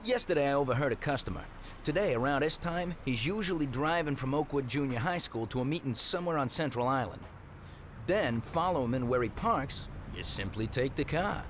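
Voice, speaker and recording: A male actor as a shady game mechanic who lays out a job plainly and helpfully. His voice sits at a middling pitch, over faint vehicle ambience. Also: lively intonation, brisk, slight background noise, close to an everyday voice. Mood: conspiratorial, assured. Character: shady, helpful car mechanic tipping off a thief. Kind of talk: video game voice acting.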